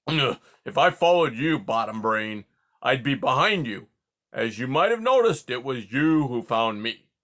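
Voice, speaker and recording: A man's voice: mid-range voice, very animated, measured pace, fairly bright tone. A man voicing a grumpy old male porcupine who huffs, sputters and swears in silly made-up oaths. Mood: grumbling.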